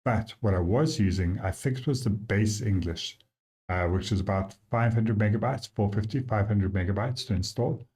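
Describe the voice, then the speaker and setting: Man, South African accent, software walkthrough, thinking aloud, fairly low voice, warm tone. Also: moderate pace. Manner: thinks aloud, loose and chatty with fillers. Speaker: app developer giving a demo.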